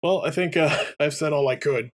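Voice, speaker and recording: A man's voice: on the high side, normal speed. A man co-hosting a let's play, chatting casually and jokily about games. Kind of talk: casual gaming chat.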